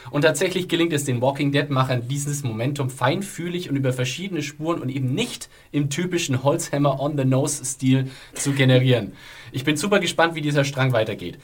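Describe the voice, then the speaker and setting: A man moderating a series podcast, reading listener comments and steering the talk with quick jokes. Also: medium pitch. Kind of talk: scripted review narration and casual podcast chat.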